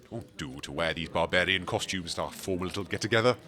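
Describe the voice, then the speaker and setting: An actor playing a pompous, courtly gentleman host in a comedic audio drama, speaking in flowery, formal, theatrical phrases. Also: low-pitched, fast. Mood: courteous, drily amused.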